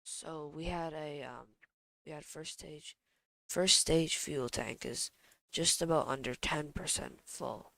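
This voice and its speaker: A young amateur streamer chatting casually and haltingly, sounding stuffy from allergies. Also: mid-range voice, gravelly, pushed and bright, no echo. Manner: halting, hesitant. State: congested from allergies. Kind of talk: casual unscripted stream chat.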